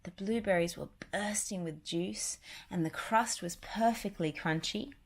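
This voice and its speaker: A woman's voice: medium pitch, unhurried, gravelly. A woman narrating a children's picture book, reading it aloud as a story.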